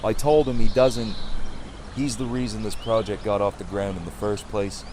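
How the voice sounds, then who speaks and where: An actor playing a weary, earnest community leader in an audio drama, with a measured delivery. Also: male voice, fairly low pitch, steady tone, natural voice. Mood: regretful, earnest. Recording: clearly noisy outdoor ambience behind voice.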